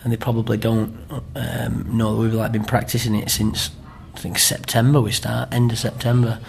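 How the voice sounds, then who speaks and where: A man's voice: mid-range voice, little pitch movement, moderate pace, gravelly, slight background noise. A middle-aged man with a Greater Manchester accent, chatting in an interview in a rambling way and often checking with tag questions. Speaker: amateur pantomime performer being interviewed.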